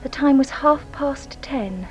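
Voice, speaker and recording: Anxious genteel Englishwoman, highish voice. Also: takes it slowly, darkish tone, soft background music. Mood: uneasy recollection. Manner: hesitant, dramatic, confessional. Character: anxious, guilt-ridden genteel young Englishwoman giving testimony. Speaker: actress playing a witness. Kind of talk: radio drama acting.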